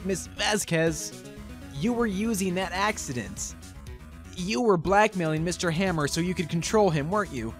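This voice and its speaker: A woman's voice: on the low side, expressive, normal speed, soft background music, lightly acted. A woman voicing an earnest young male defense lawyer, hesitant and incredulous, in an amateur game fandub. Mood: probing.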